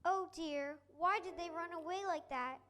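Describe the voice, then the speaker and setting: A woman's voice: very high-pitched, normal speed, fairly bright tone. A woman playing a small, earnest, pleading velveteen toy rabbit in a reader's theater storybook performance. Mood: forlorn.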